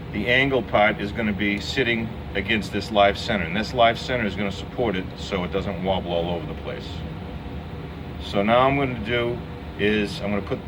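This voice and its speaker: A man giving an informal, step-by-step hands-on demonstration as a machine shop instructor. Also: on the low side. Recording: distant mic, noisy machine shop hum.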